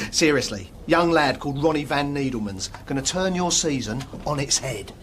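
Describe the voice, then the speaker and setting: A man's voice: a little high, lively intonation, moderate pace, a bit bright, a little hiss or hum. A man playing a brazen, over-familiar football agent, wheedling and repeating himself in comedy acting. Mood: smarmy, wheedling.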